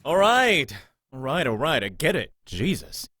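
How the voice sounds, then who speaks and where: A man's voice: fairly high pitch, big pitch swings, unhurried, bright, forceful tone, no echo, clean studio sound, heavily acted. A man playing an irritable, foul-mouthed security guard in a visual novel, sneering and impatient. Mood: exasperated.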